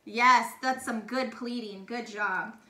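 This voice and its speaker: Bright, high-pitched woman teacher reading aloud. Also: slow pace. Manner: expressive, emphatic, talks directly to young children. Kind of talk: animated storybook narration. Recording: echoey room with faint noise.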